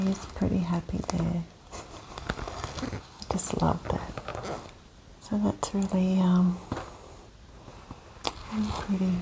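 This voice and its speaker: A woman whispering softly as she reads a children's picture book aloud for an ASMR session. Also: mid-range voice, background noise. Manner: soft gentle whisper. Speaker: host. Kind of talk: ASMR whispered storybook reading.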